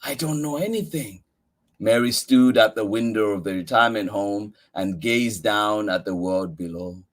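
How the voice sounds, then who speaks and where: A man reading a children's picture book aloud as a story-time host, with expressive, deliberate emphasis on key words. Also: lowish voice.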